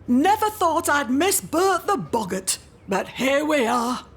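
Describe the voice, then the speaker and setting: A woman's voice: high-pitched, very animated, slow pace, full character voice. An actress playing a brusque, exasperated village pub landlady in a comedy audio drama, blunt and bossy. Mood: glum, wry.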